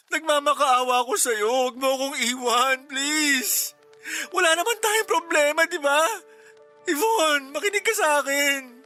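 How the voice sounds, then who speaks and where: High-voiced, pleading young boyfriend. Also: male voice, bright, forceful tone, heavily acted. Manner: emotional, insistent, imploring. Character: devoted, pleading young boyfriend and office co-worker. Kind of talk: Tagalog radio drama acting.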